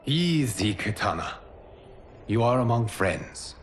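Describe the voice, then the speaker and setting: An actor playing a calm, wise fire god and keeper of time in a video game, speaking in a measured, reassuring, formal way. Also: male voice, mid-range voice, slight background noise. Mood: gentle. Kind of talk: solemn video game cutscene acting.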